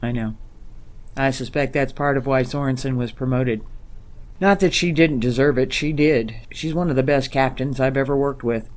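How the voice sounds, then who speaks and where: A man's voice: medium pitch, moderate pace, natural voice. A man playing a thoughtful, seasoned ambassador in a science fiction audio drama, with a composed, considered and candid delivery. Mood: weary, reflective.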